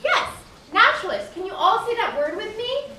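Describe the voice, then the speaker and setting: A woman's voice: extremely high pitch, sing-song, normal speed. A young woman playing an encouraging, knowledgeable ocean guide, explaining things in a children's puppet show. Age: young adult. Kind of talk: scripted children's educational show. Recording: echoey hall, distant mic, crowd noise.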